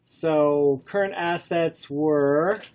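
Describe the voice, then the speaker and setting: A man with a head cold lectures a class, his voice stuffy and nasal. He speaks a little higher than most men and keeps a loose, relaxed pace. He fills pauses with ums and keeps asking the students questions. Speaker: college instructor.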